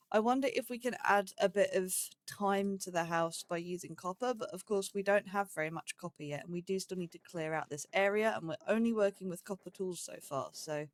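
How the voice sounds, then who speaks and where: A woman's voice: medium pitch, no room sound. A woman giving casual let's play commentary as the host of a gaming series, rambling and thinking aloud as she goes.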